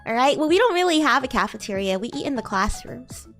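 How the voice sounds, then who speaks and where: A woman's voice: high-pitched, very animated, fast talker, a bit bright, soft background music. A woman voicing a bratty, haughty young demon class president in a livestream roleplay, teasing and condescending as she improvises. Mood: playful, teasing. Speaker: VTuber streamer playing a roleplay character.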